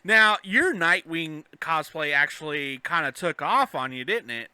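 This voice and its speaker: A man's voice: a little high, lively intonation, a little slow, bright, forceful tone, smooth. A man co-hosting a podcast, asking questions and bantering in a casual, joking style. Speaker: podcast co-host, interviewer.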